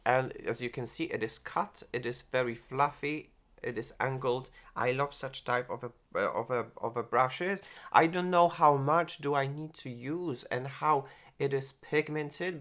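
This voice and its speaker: A man in his forties, a beauty vlogger reviewing makeup, who gushes and exclaims often and speaks in halting phrases with many restarts. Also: mid-range voice, pushed and bright. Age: 40s. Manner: gushing, exclaims and laughs often. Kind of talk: product review and makeup tutorial.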